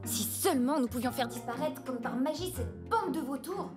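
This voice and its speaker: A woman's voice: high voice, lively intonation, normal speed. A woman voicing a spirited young woman in a French cartoon dub, a little sheepish and wry. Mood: wry, doubtful. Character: spirited young woman, secretly a masked adventurer, evasive. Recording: music bed under dialogue.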